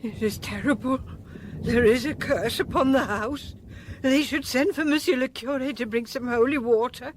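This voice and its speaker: An actress playing a superstitious, agitated housemaid in a radio drama, indignant and melodramatic. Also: female voice, high voice, normal speed, background noise, lightly acted. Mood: alarmed.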